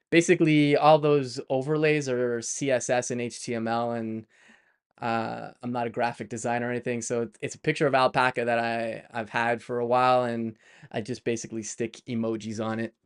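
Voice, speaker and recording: Middle-aged Canadian man, live-stream host; smooth, resonant voice, loose and chatty with frequent laughs. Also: mid-range voice, steady tone, normal speed. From Canada (Montreal). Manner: chatty, laughs often, many fillers and restarts. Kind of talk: casual live-stream chat.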